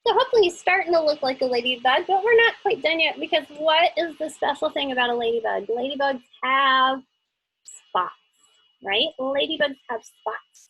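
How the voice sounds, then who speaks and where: A woman's voice: high-pitched, a bit bright, poor audio. A woman teaching children a craft step by step in a casual, chatty, reassuring way. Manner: asks the listener simple questions.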